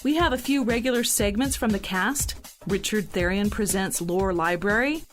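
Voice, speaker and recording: Woman podcast host with faint music. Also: a little high. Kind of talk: scripted podcast introduction and discussion hosting.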